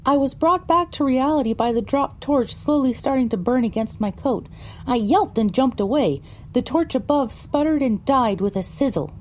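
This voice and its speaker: A woman's voice: high-pitched, lively intonation, normal speed, darkish tone, slight background noise, poor audio. A woman narrating a first-person story for an audio drama, reading descriptively with dramatic phrasing.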